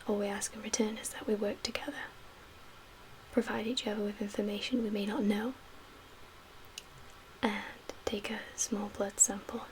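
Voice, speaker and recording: A woman playing a calm survivor camp leader in a soft-spoken ASMR roleplay, with a breathy, whispery voice and a gentle, measured, hushed manner. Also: medium pitch, close to an everyday voice, poor audio. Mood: calm, explaining. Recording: clear background ambient noise.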